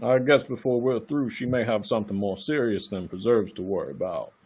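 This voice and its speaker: A man playing a brisk, condescending county attorney in a live radio play, officious and patronizing. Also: lowish voice, a little mellow, breathy edge.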